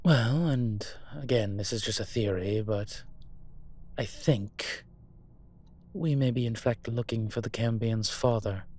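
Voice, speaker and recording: A man playing a suave, teasing demon professor in an audio roleplay, with a wry, conversational delivery and a husky voice. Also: medium pitch, measured pace, a little mellow, lightly acted. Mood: wry, musing. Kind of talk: intimate audio roleplay acting.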